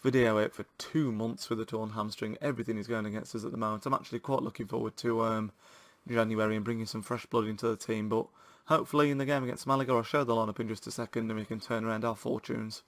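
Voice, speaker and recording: A man with a sore throat, giving excitable, chatty commentary to viewers while playing a football video game. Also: fairly low pitch, quick pace, hardly any pauses, no room sound. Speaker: gaming channel host.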